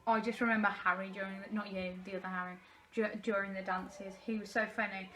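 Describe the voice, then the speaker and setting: A woman with a mid-pitched voice banters casually in an informal interview. There is faint noise behind her. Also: flat delivery, fast talker, fairly bright tone.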